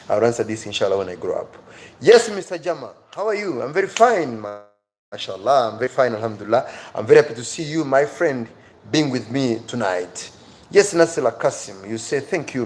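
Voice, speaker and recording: A man's voice: medium pitch, darkish tone. A man teaching on a livestream, speaking emphatically, repeating phrases and urging his viewers directly. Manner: addresses viewers directly, pleading and encouraging. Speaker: online teacher and livestream host. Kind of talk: livestream English reading-skills lesson. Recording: clear background noise.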